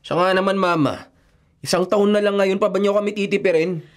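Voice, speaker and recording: A man's voice: sits high, steady tone, brisk, lightly acted. A man acting as a blunt, complaining college-age son in a Filipino radio drama. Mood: wary, annoyed. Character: wary, grumbling college-student son, young adult.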